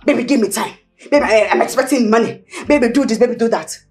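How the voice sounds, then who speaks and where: A woman's voice: on the high side, fast talker, music in the background, lightly acted. An actress playing a frustrated young woman tired of waiting to be married, scolding and mocking. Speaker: actor. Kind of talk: Nigerian film drama acting.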